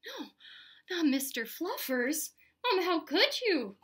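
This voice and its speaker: A woman's voice: on the high side, very animated, normal speed, bright, forceful tone. A woman voicing a stubborn young boy in a storybook, with a melodramatic, pleading manner. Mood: dramatic, anguished. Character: dramatic, stubborn young boy attached to his toys.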